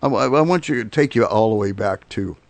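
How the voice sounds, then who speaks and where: A man hosts a radio interview in a low, gravelly voice. He sounds dry and close to the mic, chatting easily and nudging his guest along with short affirmations. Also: expressive, brisk, dry recording. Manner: conversational, prompting with frequent affirmations. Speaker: radio host. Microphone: studio microphone.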